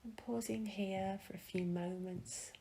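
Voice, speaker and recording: A woman leading a guided meditation in a soft, breathy, soothing manner with gentle pauses. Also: mid-range voice, steady tone.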